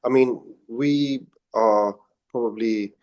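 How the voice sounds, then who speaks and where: A man in a radio studio with a husky voice today, speaking in a measured, thoughtful way and hedging his points. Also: mid-range voice, darkish tone. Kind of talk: casual radio chat.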